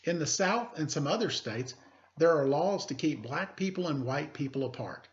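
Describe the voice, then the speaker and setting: Dull-sounding man teaching in a higher-pitched voice. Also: lively intonation, slight background noise. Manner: deliberate teacherly emphasis with informal asides. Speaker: elementary teacher reading a picture book aloud. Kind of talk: read-aloud lesson with casual asides.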